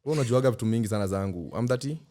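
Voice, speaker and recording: Podcast host, kenyan man, 30s, rapid animated delivery, laughs a lot. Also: mid-range voice. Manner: animated, laughs often, repeats himself when excited. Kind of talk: casual chat mixing English and Swahili.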